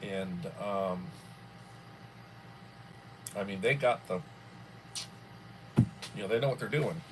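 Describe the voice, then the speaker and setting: A man in his early sixties hosting a casual livestream from home, rambling and filling pauses often. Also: on the low side, noisy background. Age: 60s. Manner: rambling, with many fillers. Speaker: livestream host.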